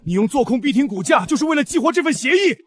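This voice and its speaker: A man's voice: very high voice, big pitch swings, rapid-fire, fairly bright tone, breathy edge, exaggerated voice. A man playing an angry, scheming businessman in a heated, confrontational melodrama. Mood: furious. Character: furious scheming businessman, betrayed and threatening. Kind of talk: dramatic audio drama acting.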